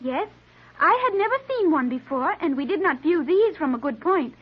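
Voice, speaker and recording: A woman's voice: high-pitched, animated, normal speed. An actress playing an earnest, solemn girl with formal, precise diction in an old-time radio serial. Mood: composed, precise. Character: earnest, solemn girl raised apart from the world. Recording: muffled vintage radio recording.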